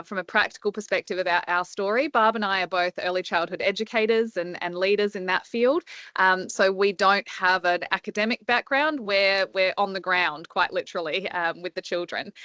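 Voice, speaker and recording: A woman's voice: mid-range voice, moderate pace, a bit bright, no echo. A woman, an early childhood educator, presenting in a chatty way with many fillers on a video call. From Australia. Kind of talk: webinar presentation.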